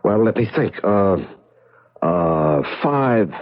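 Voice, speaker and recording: An actor playing a confused middle-aged professor with amnesia in a radio drama, speaking haltingly and trailing off. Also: male voice, fairly low pitch, expressive. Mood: confused, searching. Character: dazed, hesitant amnesiac professor, groping for his memory. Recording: muffled, band-limited old radio recording.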